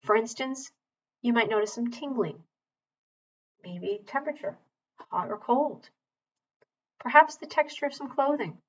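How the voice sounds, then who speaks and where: A woman teaching mindfulness, leading a guided body scan in a calm, measured, gentle way with long pauses. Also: a little high, highs cut off.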